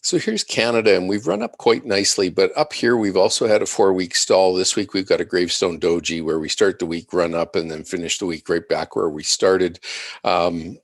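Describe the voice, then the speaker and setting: A man giving unscripted analyst commentary on charts, measured and explanatory, thinking aloud as he presents. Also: low-pitched, no room sound, studio quality. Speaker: financial market analyst presenting.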